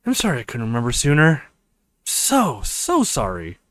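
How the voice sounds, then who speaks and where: A man's voice: mid-range voice, wildly swooping pitch, normal speed, a bit bright, no room sound. Man acting an earnest young college guy. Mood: earnest, sorry. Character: earnest, worried young male college student.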